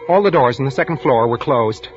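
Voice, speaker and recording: An actor playing a nervous, guilt-ridden husband who narrates his own crime in an intense, halting 1940s radio style. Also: mid-range voice, animated, lightly acted. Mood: cold, scheming. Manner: intense, haunted, halting delivery. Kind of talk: 1940s radio thriller acting, first-person narration. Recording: muffled vintage radio broadcast, music underneath.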